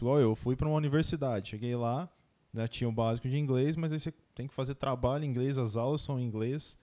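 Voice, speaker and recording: Very mellow Brazilian man explaining casually. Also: medium pitch. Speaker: panelist giving advice to listeners.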